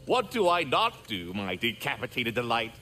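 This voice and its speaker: A man's voice: mid-range voice, huge pitch swings, normal speed, bright, forceful tone, faint music behind. A man voicing a magical talking squirrel for a video game, prim, verbose and fussily polite with sardonic, theatrical delivery. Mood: chatty, proud. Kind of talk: video game character acting.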